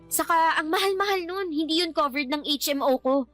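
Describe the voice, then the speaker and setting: Shrill, fed-up Filipino daughter. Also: female voice, moderate pace, a bit bright. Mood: reproachful. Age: young adult. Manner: indignant, reproachful. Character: fed-up, outspoken working adult daughter. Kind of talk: Tagalog radio drama acting. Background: background music.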